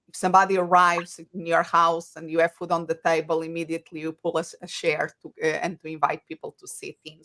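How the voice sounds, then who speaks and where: A woman's voice: on the low side, fairly bright tone. A middle-aged woman from Portugal with a Portuguese accent, speaking as an interview guest, earnest, with fillers and rephrasing. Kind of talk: casual interview talk.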